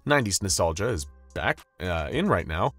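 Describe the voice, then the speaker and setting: A man's voice: deep voice, big pitch swings, normal speed, fairly bright tone, no echo, faint music behind, heavily acted. A man voicing a nervous male executive who stammers and hesitates.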